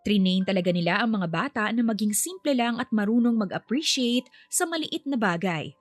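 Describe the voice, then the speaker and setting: Casting: female narrator for scripted audio fiction, mid-pitched, light music bed. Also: expressive, a little fast, no room sound. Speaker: story narrator. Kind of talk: scripted narration of a family romance story.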